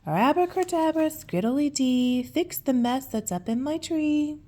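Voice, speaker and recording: A woman voicing an eager, clumsy little fairy girl in a storybook, earnest and excitable. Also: high voice, unhurried, pitched above the performer's own voice. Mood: eager, determined.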